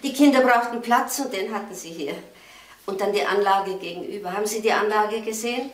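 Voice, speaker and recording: An actress playing an older, estranged wife in a crime drama, polite, halting, wistful and resigned. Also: female voice, medium pitch, close to an everyday voice. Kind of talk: German crime drama acting.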